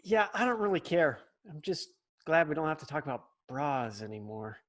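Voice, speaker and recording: Excitable bratty kid, swingy pitch, comedy script on a video call. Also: male voice, normal speed. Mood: cheeky. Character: bratty, excitable young boy. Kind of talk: comedy script table read. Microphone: computer on video call.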